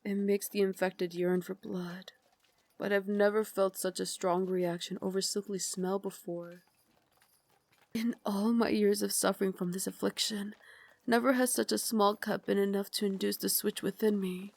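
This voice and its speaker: A woman voicing a tender, guilt-ridden young Victorian vampire lady in an intimate ASMR roleplay, with formal, dramatic, confiding phrasing that slips into breathy whispers. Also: medium pitch, steady tone, normal speed, no echo. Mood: troubled, confessional.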